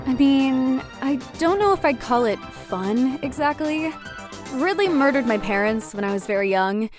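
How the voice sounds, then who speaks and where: A woman playing a guarded, awkward space heroine in an animated comedy, talking in a hesitant, matter-of-fact way. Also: high-pitched, unhurried. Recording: music behind voice.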